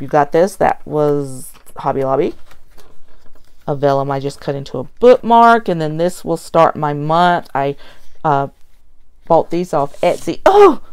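A woman's voice: low-pitched, gravelly, darkish tone. A middle-aged American woman with a scratchy voice, chatting casually and a bit ramblingly as she hosts a show-and-tell vlog. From United States. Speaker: host of a planner flip-through vlog. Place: indoors at a tabletop. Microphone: tablet filming from above the table.

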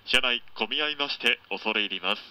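Very sharp-toned man making train announcements. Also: mid-range voice, brisk, rough recording. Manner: polite, formal. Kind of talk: scripted onboard service announcement.

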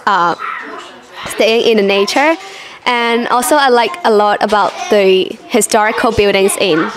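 A woman's voice: mid-range voice, noisy background. Young woman, cheerful, fluent, handheld mic in a classroom. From Hong Kong. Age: young adult. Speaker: foreign volunteer interviewee. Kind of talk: interview answers. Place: classroom among children, indoors. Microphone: handheld reporter mic.

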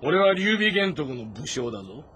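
A man playing a proud, self-assured warrior general with blunt, rough speech in a dramatic audio-novel game. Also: a little high, big pitch swings, moderate pace, a bit bright, a little hiss or hum. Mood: proud, resolute. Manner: blunt, confident, speaking in rough masculine speech. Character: bold, proud warrior general, gruff and sure of himself.

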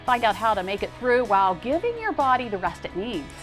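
A middle-aged woman hosts a daytime TV show, her fairly high voice swinging up and down expressively. Light music plays under her in the studio. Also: big pitch swings, fairly quick, a bit bright. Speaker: co-host. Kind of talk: TV magazine show hosting. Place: TV studio set.

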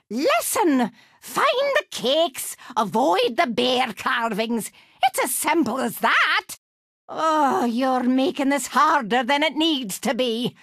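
A woman's voice: sits high, fairly bright tone, no room sound, studio quality, full character voice. A woman voicing a crotchety, scheming old witch for a video game, with a Scottish accent and a theatrical, scolding, mock-sweet manner. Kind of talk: animated video game voice acting.

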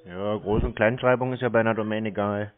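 A man's voice: on the low side, steady tone, brisk. A man in a casual phone conversation as a customer, answering in a dry, stubborn way. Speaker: scam-baiter posing as customer. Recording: muffled narrow-band phone line.

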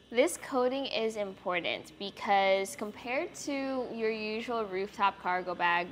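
A young woman presenting a product walkthrough in an indoor garage studio, explaining features in a clear, friendly way on a lapel mic. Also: medium pitch, a bit bright, slight background noise. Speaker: product reviewer. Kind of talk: product demonstration.

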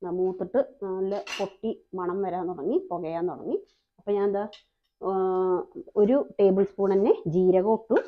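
A woman's voice: lowish voice, narrow, tinny audio. Chatty woman explaining a recipe. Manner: chatty, explains each step, frequent tag questions. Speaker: cooking channel host. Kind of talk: cooking demonstration voice-over.

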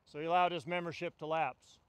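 A man's voice: on the high side, fairly even intonation, fairly quick, clean tone, no echo. Older man giving a wry outdoor eulogy. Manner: wry, storytelling delivery. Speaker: union delegate giving a eulogy. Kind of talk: funeral eulogy. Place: outdoors at a funeral gathering among trees. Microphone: stand mic.

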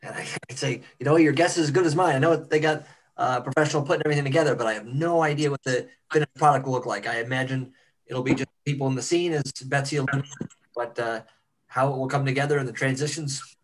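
A man's voice: mid-range voice, steady tone, fast, a little hiss or hum, rough recording. A man with a mid-range voice chats casually over a video call. He speaks conversationally, as one of a group of amateur performers. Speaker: cast member of an amateur radio play. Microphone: computer on a video call.

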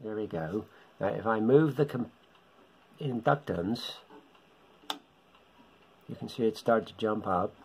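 A middle-aged man with a husky voice gives an informal beginners' tutorial, explaining haltingly in short phrases at home. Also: lowish voice, animated, a little hiss or hum. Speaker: hobbyist radio instructor. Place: home living room.

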